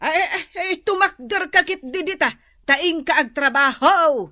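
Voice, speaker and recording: A woman's voice: very high-pitched, lively intonation, unhurried, sharp tone, dry recording, studio quality, heavily acted. An actress playing an impatient mother scolding her lazy grown son in a radio drama.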